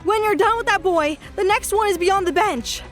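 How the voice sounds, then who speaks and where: A woman's voice: very high-pitched, moderate pace, a bit bright, faint music behind. A woman voicing an anxious young female healer in a fan audio drama, speaking with urgent, strained pleading. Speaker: Coniglio.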